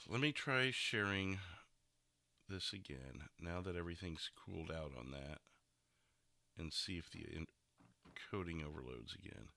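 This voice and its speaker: A man hosts a casual livestream with a sharp, very bright voice. His pitch sits on the low side and moves around a lot, giving him a playful, animated feel. The sound is dry and close. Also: unhurried, creaky, long pauses, no room sound. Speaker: livestream podcast host. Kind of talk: casual joking chat.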